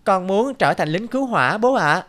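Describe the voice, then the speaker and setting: A woman's voice: medium pitch, expressive, normal speed, lightly acted. Eager little cartoon boy voiced by a woman. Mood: polite, earnest. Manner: earnest, polite. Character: eager, polite eight-year-old boy. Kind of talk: cartoon dubbing. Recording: guitar music loud behind.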